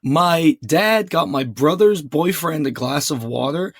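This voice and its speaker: Husky young man with dry sarcasm. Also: on the high side, expressive, a little slow, no room sound. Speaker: podcast co-host. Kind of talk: casual banter. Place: small closet.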